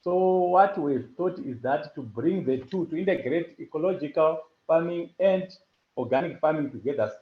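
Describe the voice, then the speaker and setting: A man presenting a farming project and answering questions on a call, pausing often with fillers and restarts. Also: fairly high pitch, expressive. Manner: halting, with many fillers and restarts. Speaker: hackathon presenter. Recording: echoey lo-fi call audio.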